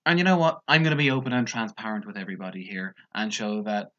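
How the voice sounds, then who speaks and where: A man's voice: on the low side, fairly even intonation, fast, a bit bright. A man commenting on a TV clip in a casual, sarcastic and mocking way. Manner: sarcastic, mocking, mimics others. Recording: dull lo-fi sound.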